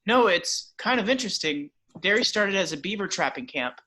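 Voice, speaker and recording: A man's voice: high-pitched, expressive, a little fast, bright, forceful tone, full character voice. A man reading as a shy, bookish teenage boy, hesitant and earnest in a table read.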